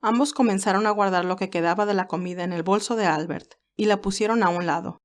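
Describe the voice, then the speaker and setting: A woman's voice: mid-range voice, fast. A woman reading scripted narration for a romantic story as its storyteller.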